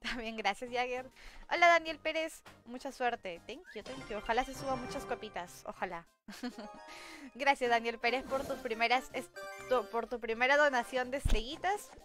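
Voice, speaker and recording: A woman's voice: fairly high pitch, moderate pace. A young woman live-streaming a game from home, chatting casually, thanking viewers and reading their messages aloud with frequent giggles. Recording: clear game music underneath. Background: game music.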